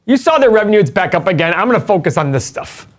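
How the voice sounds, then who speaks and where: A man's voice: high-pitched, very animated, quick pace. A middle-aged man co-hosting a casual finance chat, explaining numbers step by step and asking rhetorical questions. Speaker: co-host and stock analyst.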